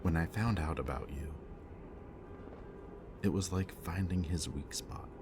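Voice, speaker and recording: A man playing a bitter, remorseful villain in an audio roleplay, confessing in a low, intimate voice that sometimes drops to a whisper. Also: unhurried. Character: remorseful bitter male villain, young adult. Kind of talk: audio roleplay addressed to the listener. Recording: steady ambient background noise.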